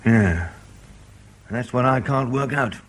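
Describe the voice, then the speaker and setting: An actor playing a sardonic, menacing intelligence man who needles with colloquial, deadpan understatement in a radio drama. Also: medium pitch, slow. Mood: probing. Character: sardonic, menacing male British intelligence interrogator.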